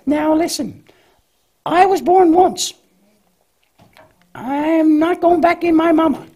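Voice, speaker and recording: A woman's voice: very high-pitched, slow pace, mellow. A woman voicing a cheerful, naive young lamb in a children's church puppet show, hesitant and questioning in a childlike way. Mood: curious.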